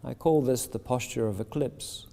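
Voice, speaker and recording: A man leading a guided meditation as a yoga teacher, speaking soothingly and deliberately in short phrases with pauses. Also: medium pitch, vocal fry, warm tone. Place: yoga shala with a seated group. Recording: long reverb of a large hall.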